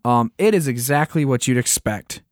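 A man's voice: medium pitch, animated, normal speed, dry recording, studio quality. A man co-hosting a podcast in casual chat, slightly losing his voice and recapping with many ums and restarts. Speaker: podcast co-host.

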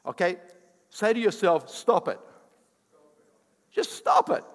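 A man preaching a sermon as pastor, speaking English as a second language, with emphatic rising bursts and quick asides. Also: high-pitched, expressive, long reverb tail. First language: not English.

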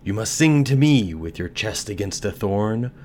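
A man's voice: on the low side, expressive, moderate pace, a little mellow, slight background noise, voice pushed up, lightly acted. A man voicing a solemn talking rose tree in a storybook, with a grand, declamatory manner. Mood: ominous. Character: proud, solemn old rose tree, grave and foreboding.

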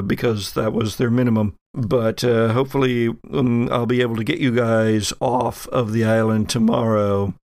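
A man playing a harried male protector in an audio game, giving practical instructions in a halting, rambling way. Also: mid-range voice, no echo, studio quality, close to an everyday voice.

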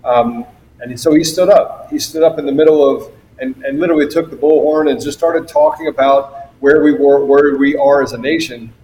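A man's voice: mid-range voice, fairly even intonation, moderate pace, dark tone, poor audio. An American man hosting a talk show, speaking conversationally as he presents and questions a guest. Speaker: show host. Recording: faint background noise.

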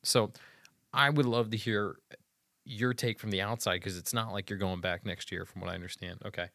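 A man's voice: lowish voice, steady tone, normal speed, pushed and bright, dry recording, clean studio sound. A man hosting a casual interview, curious and asking one question after another. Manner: curious, asks chains of questions. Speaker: podcast host.

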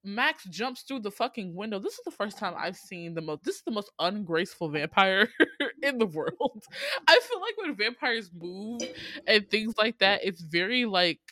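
An American woman with a medium-pitched voice talks like a podcast co-host in a casual, comedic chat. She is animated and slangy, swears freely and laughs often, and the sound is close and dry. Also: normal speed, no room sound. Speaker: podcast co-host recapping a movie.

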